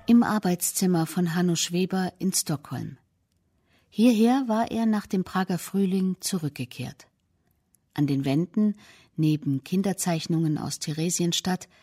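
A woman narrating a documentary in a measured, factual way. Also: on the low side, mellow, breathy edge.

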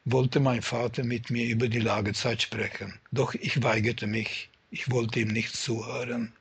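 An older man calmly recalling his childhood and his parents in a personal testimony. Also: medium pitch, a little slow, husky.

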